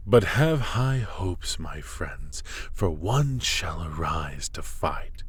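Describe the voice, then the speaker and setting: A man playing a suave, mocking dark warlord in a fantasy ASMR roleplay, speaking theatrically and often slipping into whispers. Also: deep voice, husky, no echo, heavily acted. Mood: grandly reciting. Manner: theatrical, silky, taunting, often dropping into whispers. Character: dark male warlord villain, suave, mocking, scheming tempter. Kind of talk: ASMR roleplay monologue to the listener.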